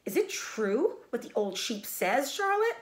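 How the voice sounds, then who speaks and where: A high-pitched, dramatic young male pig from a storybook, acted out by a woman. The childish voice wails and pleads with wide swoops in pitch. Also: very animated, a little slow. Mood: panicked. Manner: wailing, screaming pleas.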